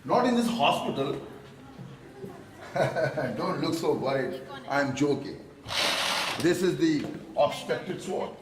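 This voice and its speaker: An actor playing a slippery, jovial hospital doctor with an Indian accent and a breezy, joking manner, in a naturalistic radio drama. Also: male voice, a little high, animated, slow, long pauses. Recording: noisy background ambience.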